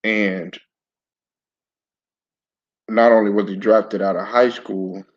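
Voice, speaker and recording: Raspy-voiced man with a throat bug. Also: lowish voice, fairly even intonation, slow pace. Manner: laid-back, stop-start, repeats words. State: hoarse from a sore throat, clearing throat. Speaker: sports commentary channel host. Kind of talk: casual live-stream talk to viewers. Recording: dull lo-fi home recording.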